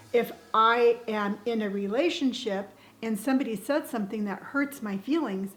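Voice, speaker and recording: A woman's voice: a little high, a bit bright, slight background noise. Earnest, emphatic middle-aged woman. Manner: earnest and emphatic, with sighs and restarts. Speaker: vlogger sharing life advice. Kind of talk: personal motivational talk to camera.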